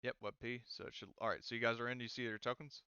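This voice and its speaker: A man acting as game master for an online tabletop roleplaying session, talking haltingly and often muttering to himself as he sets things up. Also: medium pitch, little pitch movement, sharp tone, dull audio, a little hiss or hum.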